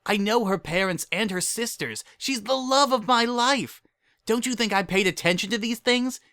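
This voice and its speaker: A man voicing a defiant young noble female unicorn, sharp, outspoken and exasperated, in a storybook reading. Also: high-pitched, normal speed, dry recording, clean studio sound, voice pushed up. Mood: indignant, passionate.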